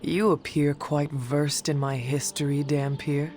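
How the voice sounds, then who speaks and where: Stern half-demon warrior, slow delivery, formal, proud, fantasy game, faint music. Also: female voice, low voice, steady tone, a little mellow. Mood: wary. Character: solemn, proud half-demon monster hunter risen from the grave.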